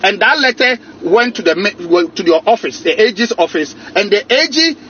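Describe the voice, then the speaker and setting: A man's voice: sits high, expressive, moderate pace, sharp tone. A man with a Ghanaian accent explains and argues insistently in a private phone conversation, often repeating his words and phrases. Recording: muffled, noisy secretly recorded phone call.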